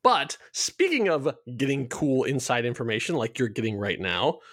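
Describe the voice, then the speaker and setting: A man's voice: mid-range voice, sing-song, moderate pace, a bit bright, no echo, studio quality. A man talking enthusiastically in a casual promotional podcast chat, explaining things in a rambling way as a show's creator. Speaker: show creator being interviewed.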